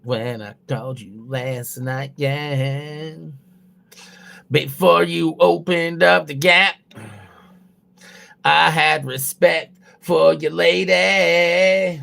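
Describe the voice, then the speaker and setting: A man putting on the voice of a smooth late-night male radio DJ, crooning slowly and low. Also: fairly even intonation, a bit bright, heavily acted. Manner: slow, low, crooning delivery.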